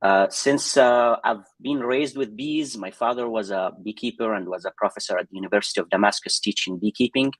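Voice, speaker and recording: A man from Syria and France speaking as a scientist guest in an informal interview, halting and often correcting himself. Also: on the low side, dry recording, low-quality recording. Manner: halting, self-correcting delivery with frequent hesitations. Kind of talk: informal spoken interview answer.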